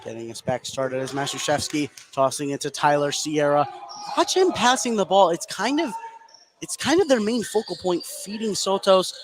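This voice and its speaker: A man's voice: fairly high pitch, normal speed. An American man giving a running, descriptive live radio play-by-play of a basketball game. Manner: running, descriptive call of the action. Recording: loud gym noise behind live broadcast. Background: noisy indoor basketball gym.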